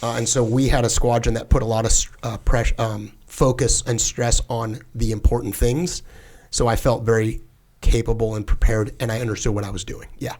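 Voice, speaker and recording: A man's voice: medium pitch, level tone, moderate pace, darkish tone. Casting note: former fighter pilot guest, middle-aged man, mid-range voice, earnest and chatty, leans on fillers. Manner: earnest, with frequent "like" fillers and restarts. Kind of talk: casual conversational interview.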